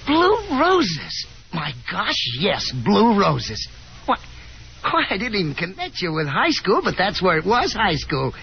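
Lively, boyish young gentleman caller. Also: high-pitched, measured pace, creaky, full character voice. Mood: surprised, recalling. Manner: folksy, boyish, exclamatory. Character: friendly, confident young man, encouraging gentleman caller. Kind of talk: 1950s radio drama acting. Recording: muffled 1950s radio broadcast, hissy noise.

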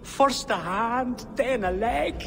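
An actor playing a frightened, shaken Irish villager in a video game, speaking haltingly with an Irish accent. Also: male voice, extremely high pitch, vocal fry, long reverb tail, heavily acted. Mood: horrified. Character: frightened Irish commoner man, shaken survivor. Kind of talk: video game cutscene acting.

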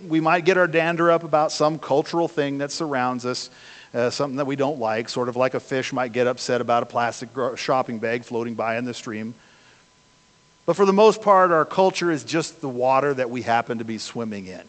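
Older man, pastor, sermon, slightly high voice, rhetorical questions, long church reverb. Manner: conversational preaching with rhetorical questions. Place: church sanctuary, speaking from a pulpit. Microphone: far camera at the back of the church.

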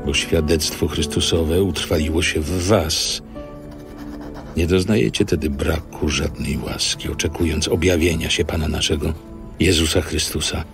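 An actor playing a grave apostle blessing believers, solemn and gravelly, in an audio Bible drama. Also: male voice, rumbling low, exaggerated voice. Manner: solemn, deliberate.

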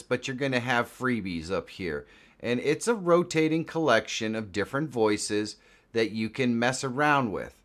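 A man presenting a software walkthrough in an informal, chatty way, as a voice-over on a close mic. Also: mid-range voice. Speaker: tutorial presenter.

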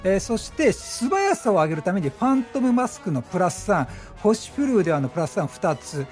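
A man giving chatty, animated gameplay commentary as a gaming streamer, explaining things as he plays and exclaiming at the action. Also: sits high, brisk, faint music behind. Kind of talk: excited game commentary and explanation.

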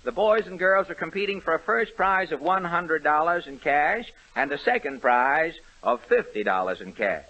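A man's voice: on the high side, big pitch swings, moderate pace, hardly any pauses, bright, forceful tone, dull audio. A man hosting a 1930s radio amateur contest, wry and joking, stumbling over many uh's on an old broadcast recording. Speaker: radio show host.

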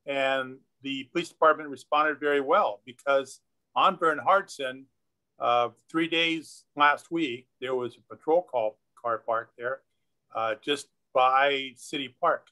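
A man serving as city councilmember, making deliberate comments and pausing often with uh. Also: mid-range voice, bright, forceful tone, poor audio.

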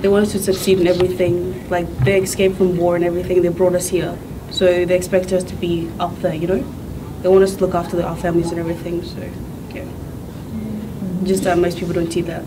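A teenage girl student whose first language is Dinka, speaking earnestly in quick runs. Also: fairly low pitch, little pitch movement, background noise. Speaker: high school student. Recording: distant mic under background music.

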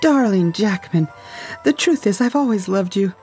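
A woman's voice: mid-range voice, expressive, normal speed, darkish tone, soft background music, natural voice. A woman playing a secretive, breezy ex-wife in a dystopian audio drama. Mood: tender, conciliatory.

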